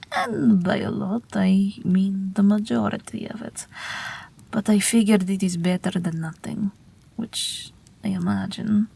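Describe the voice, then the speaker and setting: A gentle night witch, acted by a woman in an intimate audio roleplay. Her voice is extremely breathy, often sinking toward a whisper, and she takes her time with every phrase. She is tender and protective, with a wry edge, soothing a frightened listener toward sleep. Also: mid-range voice, exaggerated voice. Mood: warm, wry. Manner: slow, soft, tender reassurance.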